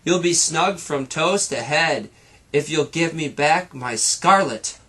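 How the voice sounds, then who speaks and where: A man's voice: a little high, animated, slow pace, fairly bright tone, breathy edge, heavily acted. A man voicing a plucky, determined young boy hero from a storybook, speaking earnestly and emphatically. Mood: eager bargaining.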